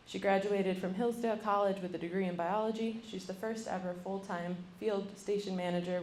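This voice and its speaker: A woman's voice: medium pitch, a little mellow, slight background noise. A young woman moderating a university panel, introducing each speaker with frequent ums. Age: young adult. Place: lecture room. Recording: roomy, mic from a distance.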